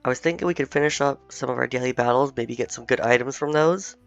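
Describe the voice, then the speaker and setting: Gravelly, slightly hoarse gaming host. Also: male voice, mid-range voice, quick pace, a bit bright, faint music behind. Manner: chatty, thinking aloud while playing. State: slightly hoarse, recovering from illness. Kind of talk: casual let's-play commentary. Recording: dull, dry home recording.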